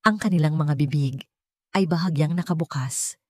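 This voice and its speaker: A Filipino woman narrates a scripted drama in a fairly low voice. The sound is dry, with little room around it. She reads as an audiobook storyteller with a Filipino accent. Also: normal speed, no room sound.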